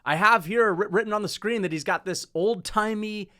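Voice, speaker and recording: A young man giving casual commentary on fighters in an animated, opinionated rant, swearing freely and tossing in rhetorical asides. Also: sits high, moderate pace. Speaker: MMA commentary YouTuber.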